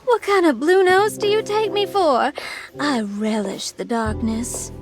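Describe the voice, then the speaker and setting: An actress playing a flirtatious, decadent 1920s socialite in a video game, languid, teasing and theatrical. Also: female voice, sits high, a little slow. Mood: sly, amused. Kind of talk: video game cutscene acting with period slang. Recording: background music behind the voice.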